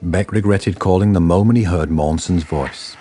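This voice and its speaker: A man's voice: deep voice, moderate pace, mellow, faint music behind. A man narrating a radio drama from a script.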